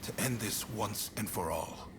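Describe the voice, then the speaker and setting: An actor playing a composed, resolute samurai-era negotiator in a video game, speaking in a measured, assured way. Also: male voice, lowish voice, creaky, noisy background. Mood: resolute, calm. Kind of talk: dramatic video game cutscene acting.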